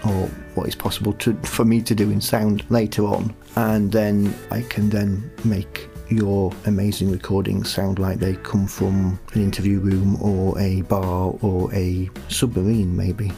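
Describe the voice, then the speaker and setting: A man's voice: sits low, flat delivery, measured pace, creaky, hardly any pauses, dark tone, soft background music. A man from the north of England chatting casually in an interview, with dry, teasing humour. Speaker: audio drama writer and sound producer.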